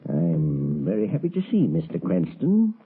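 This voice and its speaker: An actor playing a nervous, shifty professor and brusque husband in an old radio mystery, hesitant and blustering by turns. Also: male voice, low-pitched, huge pitch swings, normal speed, vocal fry, husky, heavily acted. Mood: uneasy. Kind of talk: 1930s-40s radio mystery acting. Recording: muffled, lo-fi vintage radio recording.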